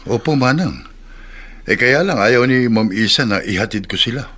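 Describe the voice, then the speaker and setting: Bright, expressive, concerned man. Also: mid-range voice, moderate pace, breathy edge. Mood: puzzled. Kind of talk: Filipino radio drama acting.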